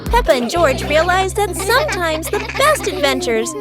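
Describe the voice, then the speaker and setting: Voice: very high-pitched, sing-song, moderate pace, over loud music. A narrator reading a scripted children's cartoon story.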